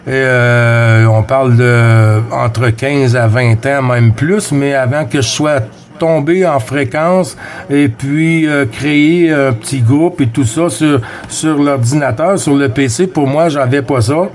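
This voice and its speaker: A man with a Quebec French accent chatting casually as a CB radio operator, friendly, with halting phrasing and repeated words. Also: mid-range voice. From Quebec, Canada. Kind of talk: casual radio chat with callsigns.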